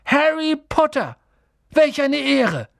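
A man's voice: high voice, big pitch swings, slow, gravelly, slightly rough, dry recording, studio quality, voice pushed up, full character voice. A man voicing an emotional, awestruck old innkeeper, reverent and exclaiming, in an audiobook. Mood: awed, moved. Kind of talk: audiobook character voice.

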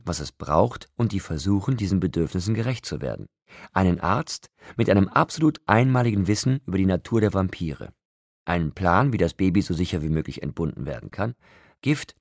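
A man's voice: deep voice, gravelly, no room sound, clean studio sound, pitched above the performer's own voice, heavily acted. A man voicing a haughty, cold blonde woman with an emphatic, contemptuous manner in a story.

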